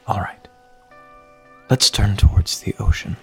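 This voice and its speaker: A man playing a gentle, caring relaxation guide, leading a guided meditation in a calm, soothing, reassuring manner. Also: measured pace, faint music behind, close to an everyday voice.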